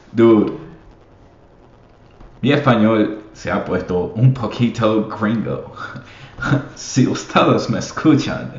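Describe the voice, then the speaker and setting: Podcast host, man, deep and unhurried, mixes in English, encouraging, echoey dull recording. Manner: switches between Spanish and English, many fillers; encouraging, calls listeners papi. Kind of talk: casual podcast monologue. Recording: echoey room, slightly dull sound.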